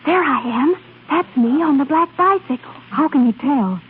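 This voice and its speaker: An actress playing a chatty, excited young woman in a 1930s radio advertisement skit. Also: high-pitched, normal speed. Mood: excited, admiring. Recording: tinny, noisy 1930s radio recording.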